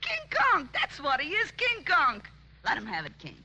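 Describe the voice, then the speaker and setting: An actress playing a brassy, giddy young party girl in a 1940s radio drama, excitable and slangy. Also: high voice, normal speed, full character voice. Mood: goading.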